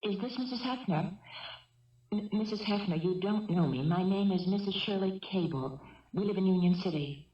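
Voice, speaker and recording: An actress playing a gentle, composed middle-aged housewife in a 1970s radio drama, speaking carefully, politely and soothingly. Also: female voice, mid-range voice, moderate pace, far from the mic, close to an everyday voice. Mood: polite, cautious. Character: gentle, composed housewife and mother held hostage. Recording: roomy, muffled old radio broadcast.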